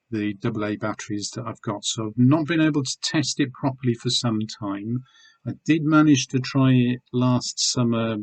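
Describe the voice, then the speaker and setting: A middle-aged man from the United Kingdom, slightly hoarse, talking casually and rambling with many ums as he shows his eBay items to the camera. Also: on the low side, rough recording. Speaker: eBay seller reviewing weekly sales. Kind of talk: casual video chat showing items.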